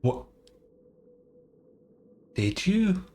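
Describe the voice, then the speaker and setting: A man's voice: sits high, sing-song, very slow, darkish tone. A man streaming a game playthrough, reacting casually and irreverently, swearing and talking back to the characters. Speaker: gaming streamer. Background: game music.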